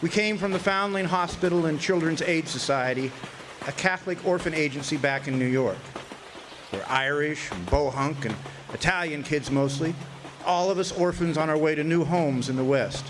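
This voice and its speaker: Man acting a higher-pitched, reminiscing former orphan. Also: noisy background, lightly acted. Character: grown former orphan recalling his boyhood journey. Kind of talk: radio play narration, reminiscing.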